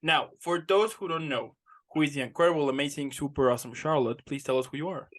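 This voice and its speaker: A man's voice: on the high side, fast talker, a bit bright. Effusive young male podcast host. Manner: upbeat and effusive, piling on superlatives. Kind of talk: casual interview chat.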